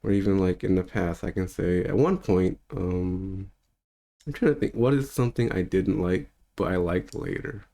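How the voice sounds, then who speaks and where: A man's voice: deep voice, normal speed, gravelly, mellow. A man in his thirties, a spiritual teacher, talking in a loose, rambling monologue with easy laughs. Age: 30s. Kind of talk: casual unscripted monologue.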